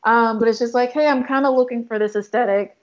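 A woman's voice: fairly high pitch, steady tone, fast. Chatty woman, slightly muffled sound. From Democratic Republic of Congo. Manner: chatty, lots of likes and ums. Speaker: photographer and filmmaker guest. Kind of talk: casual interview talk.

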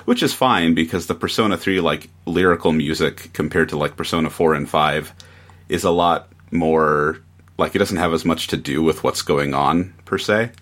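A man giving a measured, analytical take as a guest in a casual podcast discussion. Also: a bass voice, fairly bright tone. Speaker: guest reviewer.

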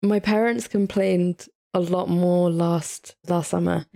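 A woman's voice: on the low side, fairly even intonation, takes it slowly, gravelly, dark tone. A woman in her early twenties with a British accent, chatting informally and telling stories as a podcast guest. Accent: British English. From England, raised partly in Italy and Saudi Arabia. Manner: chatty, informal, often says "like". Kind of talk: casual conversational storytelling.

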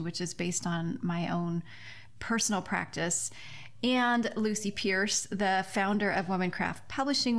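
Woman podcast host, chatty and earnest, kinda gushing, mid-range voice. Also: medium pitch. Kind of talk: conversational podcast hosting.

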